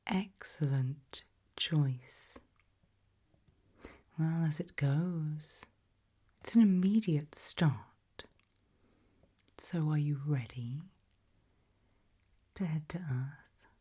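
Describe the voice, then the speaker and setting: A British woman performing an ASMR roleplay in a breathy, gentle whisper with deliberate delivery. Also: low-pitched.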